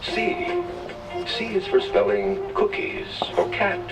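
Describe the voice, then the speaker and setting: Male read-along narrator, very animated pitch, unhurried, theatrical rhymes, old record playback from a distance, music behind. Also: creaky. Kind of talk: scripted children's rhyming narration.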